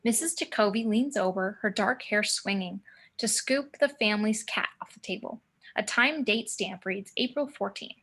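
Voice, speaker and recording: Woman narrating screenplay directions, mid-pitched. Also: normal speed, a bit bright. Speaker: narrator reading stage directions. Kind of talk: screenplay table read narration. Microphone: video call.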